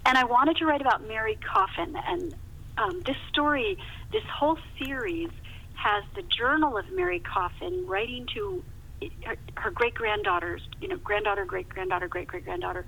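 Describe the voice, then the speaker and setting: A woman's voice: high voice, sing-song, normal speed, vocal fry, bright, forceful tone. A woman guest telling stories conversationally in an interview, with an emphatic way of talking, repeating words for emphasis. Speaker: novelist, podcast guest. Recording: muffled phone line with hiss.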